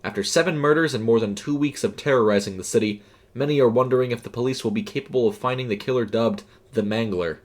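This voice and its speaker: A man playing a television newscaster with a flat, frank, formal delivery in an audio story. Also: mid-range voice, quick pace, close to an everyday voice. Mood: grave, frank. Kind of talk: formal TV news report.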